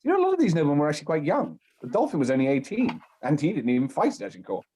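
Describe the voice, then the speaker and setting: A pedantic male history enthusiast in a naturalistic comedy. He talks quickly and eagerly, lecturing on detail, and turns apologetic when cut off. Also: fairly high pitch, huge pitch swings, warm tone, breathy edge, exaggerated voice. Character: pedantic history-buff male amateur actor, eager to explain.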